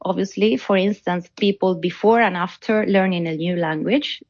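A woman's voice: on the low side, steady tone, moderate pace, darkish tone. A woman researcher, not a native English speaker, explaining her work in an interview and often repeating words as she goes. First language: non-native English speaker. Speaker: academic researcher being interviewed. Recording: dull, band-limited audio.

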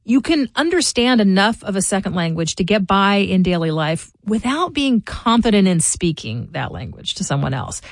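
A middle-aged woman hosting a local news podcast, reading scripted commentary in a measured, informative way. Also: mid-range voice, sing-song, no room sound, clean studio sound. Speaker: podcast host.

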